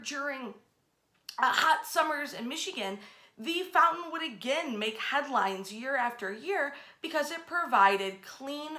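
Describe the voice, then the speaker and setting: A woman in her thirties hosting casual history storytelling to camera, with an emphatic, expressive delivery. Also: high-pitched. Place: bedroom, indoors. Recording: roomy, echoey indoor sound.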